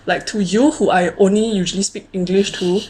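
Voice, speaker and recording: A woman's voice: mid-range voice, steady tone, fairly quick, darkish tone. A woman in her late twenties with a Singaporean accent, co-hosting a casual conversational show. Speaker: co-host of a conversational video series. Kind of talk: casual chat.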